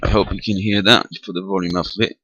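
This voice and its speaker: A man giving an unscripted hands-on technical tutorial as an amateur radio demonstrator, matter-of-fact and halting as he thinks aloud. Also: deep voice, flat delivery, bright, forceful tone, dry recording, muffled recording.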